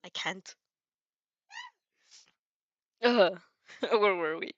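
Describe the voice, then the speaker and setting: A giggly, excitable woman reading fan fiction aloud and breaking off for casual comments. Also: medium pitch, very slow, no room sound, dull audio. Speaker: channel host and fanfic reader.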